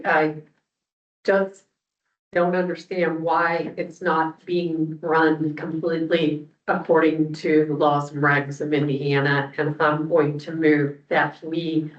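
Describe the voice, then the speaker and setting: Roomy, distant recording of a slow-spoken woman. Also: low-pitched. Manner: halting, deliberate. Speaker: commissioner. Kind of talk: formal remarks in a public meeting. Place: public commission meeting room. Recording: roomy, distant, muffled meeting audio.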